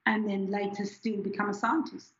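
A woman's voice: medium pitch, little pitch movement, moderate pace, echoey room, muffled recording, a little hiss or hum. A woman in her forties from Switzerland, reflectively telling her story as an interview guest with many restarts. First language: German. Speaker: scientist guest. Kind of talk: candid personal interview.

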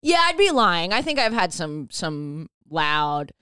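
A woman's voice: lowish voice, big pitch swings, slow, sharp tone, clean tone, dry recording, studio quality. A woman hosting a podcast, chatting casually with playful teasing banter. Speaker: podcast host. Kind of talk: casual chat.

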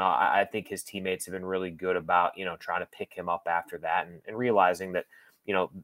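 A male sports broadcaster talks through his analysis conversationally, with an emphatic, explanatory delivery. His pitch is on the low side, and the recording is very dry, free of room sound. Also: fairly bright tone, dry recording. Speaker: sports broadcaster, interview guest.

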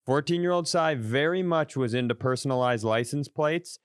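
A man co-hosting a podcast, joking in a wry, quick way during casual banter. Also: mid-range voice, clear, resonant tone. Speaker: podcast co-host.

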